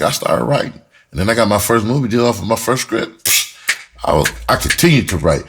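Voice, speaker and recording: Low-pitched American male, middle-aged, raspy husky tone, casual storytelling, blunt, profane, emphatic slang. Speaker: screenwriter telling his own story. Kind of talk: casual first-person storytelling to camera.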